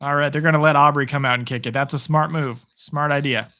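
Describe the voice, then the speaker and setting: A man streaming live football reactions, his voice worn hoarse after a long session. He sits a bit higher in pitch than most men and keeps up a chatty, upbeat stream of commentary and replies to viewers. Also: fairly even intonation, fast, bright, forceful tone. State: hoarse from hours of talking. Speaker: sports streamer. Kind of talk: live play-by-play and chat reactions.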